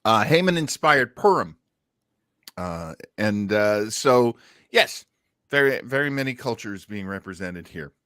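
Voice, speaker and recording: Animated man hosting, dry close sound. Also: fairly low pitch, unhurried, clear, resonant tone, no echo. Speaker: podcast host. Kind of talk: conversational commentary.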